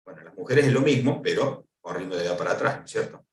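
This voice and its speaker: A man's voice: low-pitched, animated, fast. A man with an Argentine Spanish accent giving a conference lecture, explaining step by step and posing questions to answer himself. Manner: didactic, asks and answers own questions. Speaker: lecturer on pension law. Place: lecture hall. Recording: echoey hall, voice from far off.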